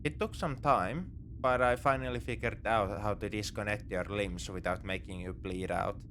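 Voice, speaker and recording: Man acting a low-voiced, roguish space pirate. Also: moderate pace, fairly bright tone, slight background noise. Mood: calm, smug. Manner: casual, wry, coaxing. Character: roguish, morally grey male space pirate, casually reassuring captor. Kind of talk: second-person sci-fi ASMR roleplay acting.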